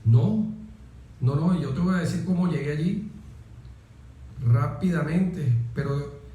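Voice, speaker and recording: A man's voice: on the high side, takes it slowly, mellow. A middle-aged Venezuelan man, a military officer, speaking as an interview guest and telling personal stories emphatically, often repeating phrases. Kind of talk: conversational personal storytelling. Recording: very echoey room.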